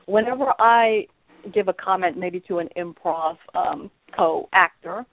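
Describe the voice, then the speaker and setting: A woman's voice: fairly low pitch, a little slow, no echo. A woman improv actor and coach, a guest on a phone interview, talking animatedly and restarting her words. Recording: dry, narrow telephone line.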